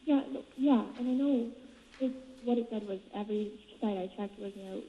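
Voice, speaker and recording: A woman's voice: medium pitch, fairly quick. A woman chatting casually over the telephone in a recorded call. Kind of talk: casual phone conversation. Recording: thin, reverberant, noisy phone line.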